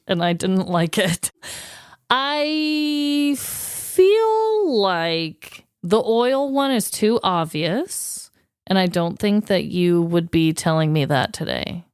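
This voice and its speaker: A woman's voice: mid-range voice, clean tone, no room sound, studio quality. A woman co-hosting a podcast in casual chat, talking in a playful, exclamatory way. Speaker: podcast co-host.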